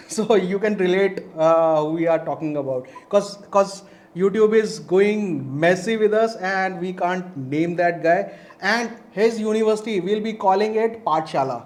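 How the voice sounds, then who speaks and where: High-voiced man hosting a chat. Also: moderate pace. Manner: playful, chatty, laughs at own jokes. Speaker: host. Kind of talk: casual interview chat.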